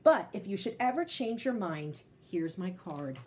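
A woman voicing an elegant, businesslike gentleman in a storybook read-aloud, polite and matter-of-fact. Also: mid-range voice, very animated, a little slow, slight background noise.

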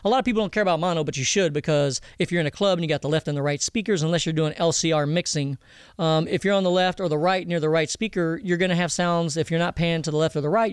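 A man explaining audio software step by step in an informal tutorial, often checking that the listener follows. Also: on the high side, clear, resonant tone, dry recording, studio quality. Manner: explanatory, checks understanding with "okay?", "all right?". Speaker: audio mixing instructor.

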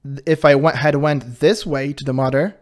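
A man with a high-pitched voice hosting his channel, giving casual commentary in an animated, defensive way and laughing often. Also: normal speed. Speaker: channel host reacting to a video.

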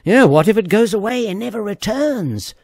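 An excitable, fretful islander from a storybook, acted out by a male narrator. The voice is husky and swings widely in pitch, with an exclamatory delivery. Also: moderate pace, dry recording, studio quality, full character voice. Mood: worried. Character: excitable, anxious island tribespeople.

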